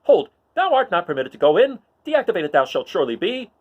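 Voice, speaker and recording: A fussy droid , acted out by a man, scolds in mock-Shakespearean verse. The voice is pitched high and swings about indignantly, with haughty theatrical delivery on a slightly dull recording. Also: sing-song, brisk. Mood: indignant scolding. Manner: haughty, exclaiming in Shakespearean insults.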